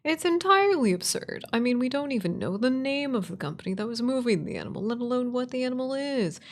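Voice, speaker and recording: Casting: woman as an incredulous conspiracy crank phoning in, emphatic stresses, creaky edge, radio play style. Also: on the high side, very animated, quick pace, pitched above the performer's own voice. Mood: scornful, emphatic. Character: scornful radio show caller ranting about cryptids, conspiracy-minded.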